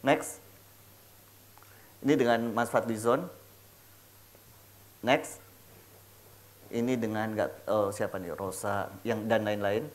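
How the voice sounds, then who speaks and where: A man's voice: on the low side, steady tone, takes it slowly, long pauses. A man hosting a casual, playful interview, slangy and mixing in English words. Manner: jokey, slangy, peppers talk with English words. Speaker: talk show host.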